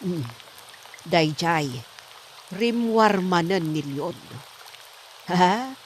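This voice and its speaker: A woman's voice: on the low side, animated, drawn-out words, slight background noise. A woman playing a suspicious, scolding middle-aged wife in an Ilocano comedy drama, with an Ilocano accent.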